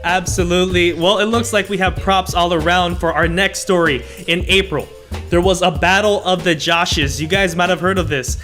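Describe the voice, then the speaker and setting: A man's voice: high voice, steady tone, normal speed, a bit bright, faint music behind. A man hosting a podcast, presenting short stories and bantering with his panel. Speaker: podcast host.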